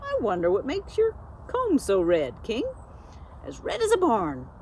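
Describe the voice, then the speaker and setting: Woman as a blunt, unhurried storybook cow. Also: fairly high pitch, huge pitch swings, a little mellow, a little hiss or hum, pitched above the performer's own voice, heavily acted. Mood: curious. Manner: blunt, contradicting. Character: skeptical, blunt female cow. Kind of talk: children's storybook character reading.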